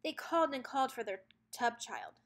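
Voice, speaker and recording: Lady reading a kids picture book out loud, kinda high voice, expressive storytelling. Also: normal speed, vocal fry. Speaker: children's book read-aloud narrator.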